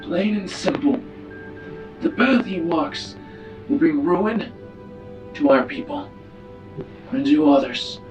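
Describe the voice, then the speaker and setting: Grave, guarded alien statesman , male player, formal and unhurried, tabletop sci-fi roleplay; slightly distant, music behind. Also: high-pitched, big pitch swings, full character voice. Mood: grave, foreboding. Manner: formal, solemn, measured speech.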